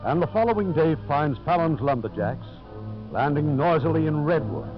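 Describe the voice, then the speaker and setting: A man's voice: a little high, lively intonation, moderate pace. A man hosting and narrating a scripted 1950s radio drama. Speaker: radio host and producer. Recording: muffled 1950s radio recording. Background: music.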